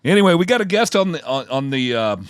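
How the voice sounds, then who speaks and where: A man's voice: fairly high pitch, sing-song, quick pace, clear, resonant tone, dry recording, clean studio sound. A man with a Kentucky accent hosting a casual travel podcast, rambling a little and reading facts off his computer. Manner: rambling, restarts, reads facts aloud. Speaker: podcast host. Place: home theater room.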